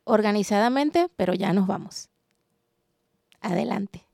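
A woman from Venezuela, hosting a roundtable in a measured, reflective way at a studio microphone. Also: mid-range voice, expressive, creaky, darkish tone, no room sound. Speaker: host.

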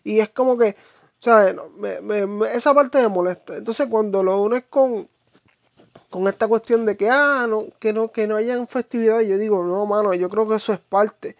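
A Puerto Rican Spanish speaker giving a casual, opinionated monologue to viewers, informal and halting, with slang and English fillers mixed in. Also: mid-range voice, no echo. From Puerto Rico. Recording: dull, lo-fi, dry sound.

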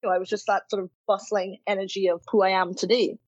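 A woman from Trinidad shares her life story as an interview guest, reflective and earnest, leaning on soft fillers as she thinks aloud. Her voice sits at a middle pitch. It comes through a slightly dull, dry recording. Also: level tone, fast talker, slightly rough, no echo. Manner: reflective and earnest, many "you know" and "kind of" fillers. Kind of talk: candid personal storytelling.

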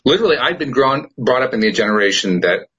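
A man's voice: mid-range voice, sing-song, fast talker, sharp tone, breathy edge. A middle-aged man, a podcast host, talking earnestly and reflectively in a casual conversation.

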